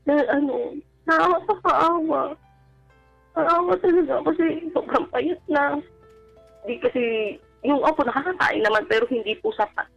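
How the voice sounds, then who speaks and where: A woman with a Filipino accent giving a personal account on a radio advice show, talking casually and politely in a halting, deferential way. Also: sits high, creaky, a bit bright, no room sound. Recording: dull band-limited radio sound, faint music. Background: background music.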